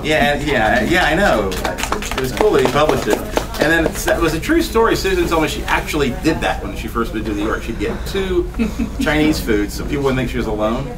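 A man's voice: mid-range voice, a little fast, a crowd in the background. A man in his fifties giving a poetry reading with chatty, laughing asides in a small bookstore room. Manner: animated, gesturing, laughs between lines. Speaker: poet. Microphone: far camera, no mic. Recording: voice from a distance.

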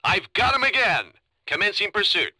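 High-pitched, eager pursuit cop. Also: male voice, very animated, moderate pace, bright, forceful tone, dry recording, full character voice, low-quality recording. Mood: keyed-up. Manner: clipped, urgent. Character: keyed-up, eager male pursuit officer. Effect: police radio. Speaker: police officer. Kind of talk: video game radio chatter.

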